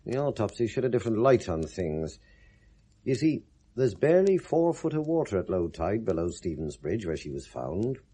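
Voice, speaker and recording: An actor playing a genial, probing middle-aged British police inspector with a husky voice, in a 1970s radio drama. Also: male voice, fairly low pitch, sing-song, normal speed, warm tone. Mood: casually probing. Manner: affable, wry, casually probing.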